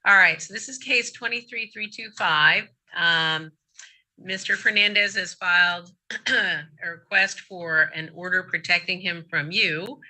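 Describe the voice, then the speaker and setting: A woman presiding as a judge at a court hearing, explaining procedure step by step in a measured way. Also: fairly low pitch, bright, forceful tone, poor audio.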